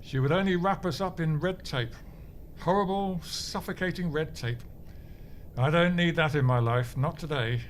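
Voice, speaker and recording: A man's voice: on the high side, normal speed, a little hiss or hum, close to an everyday voice. A man acting a grumpy, pompous, melodramatic office clerk in a comedy audio drama, blustering with theatrical indignation. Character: pompous, grumpy, melodramatic male bureau clerk, martial-arts dabbler.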